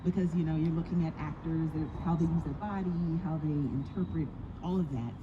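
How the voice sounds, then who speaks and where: Woman director, lowish voice, halting and thoughtful live Q&A delivery, noisy recording. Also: fairly even intonation, muffled recording. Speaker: audio play director. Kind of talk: live Q&A talk.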